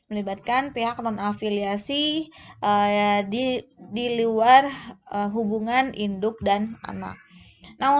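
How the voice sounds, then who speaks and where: A woman giving an informal explanatory lecture as a tutor, going step by step in a somewhat halting, hesitant way. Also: medium pitch. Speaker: accounting tutor.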